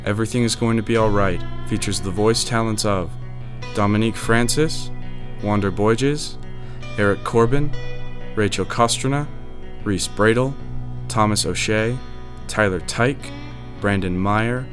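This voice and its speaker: A man's voice: on the low side, a little slow, over music. A man reading scripted narration as a credits announcer.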